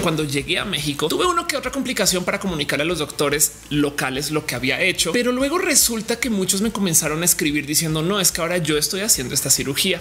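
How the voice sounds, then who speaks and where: A woman in her thirties from Colombia, talking to camera in a candid personal vlog with an expressive, chatty manner and playful asides. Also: deep voice, slight background noise. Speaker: vlogger. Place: indoor home studio room with shelves.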